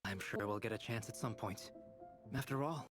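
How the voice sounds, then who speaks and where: A man voicing a courteous, gently reassuring young scholar in a video game, measured and formal. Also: lowish voice, music in the background, natural voice. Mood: calm, reassuring.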